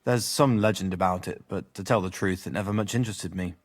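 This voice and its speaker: An actor playing a weary, blunt male castle guard in a video game, talking rough and colloquial. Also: deep voice, a little fast. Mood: gruff.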